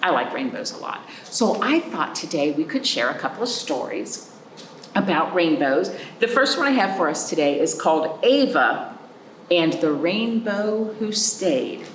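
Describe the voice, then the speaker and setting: Woman, children's storytime host, very lively pitch, unhurried storytelling, roomy echo. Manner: animated, measured storyteller delivery with dramatic pauses and stretched words. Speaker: librarian hosting a children's storytime. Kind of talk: expressive picture-book read-aloud for young children. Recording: roomy echo, faint background noise.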